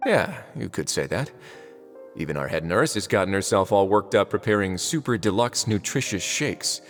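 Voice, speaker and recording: An actor playing a calm, dry-witted adult prison warden who talks with wry understatement. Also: male voice, fairly low pitch, moderate pace. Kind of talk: video game voice acting. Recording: game audio, reverb and music.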